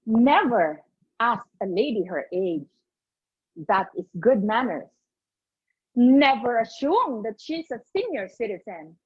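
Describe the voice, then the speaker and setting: A woman in her late fifties with a Filipino accent, delivering a humorous contest speech in a theatrical, deliberate manner over an online call. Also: on the high side, big pitch swings, breathy edge. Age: late 50s. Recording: muffled online video call.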